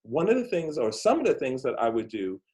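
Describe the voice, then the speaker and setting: An earnest man hosts a playful interview series for kids, working through his answers aloud with "um"s and restarts. His voice sits at an ordinary middle pitch, and he sounds like he is on a video call. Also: wildly swooping pitch, fairly quick, a little mellow. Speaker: host. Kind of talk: children's storytelling interview.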